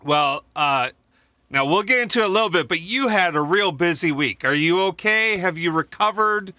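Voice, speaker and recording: A man hosting a casual livestream, energetic and jokey as he welcomes his guest. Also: high-pitched, normal speed, dry recording. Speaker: livestream host.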